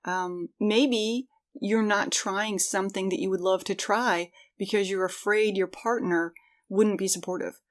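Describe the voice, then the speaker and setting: A woman with a mid-pitched voice speaks as a podcast co-host in relaxed, reflective conversation. She comes across as earnest and encouraging, talking things through in a personal, conversational way. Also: measured pace.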